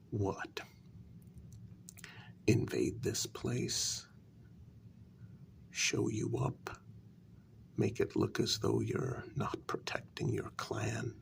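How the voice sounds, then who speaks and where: A man as a creaky, whispering royal consort. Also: on the low side, a bit bright, full character voice. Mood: earnest, hushed. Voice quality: breathy, creaky. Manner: slow, deliberate, half-whispered, with lingering pauses. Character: aging human consort of a vampire queen, devoted, reasoning. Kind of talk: soft-spoken ASMR role-play.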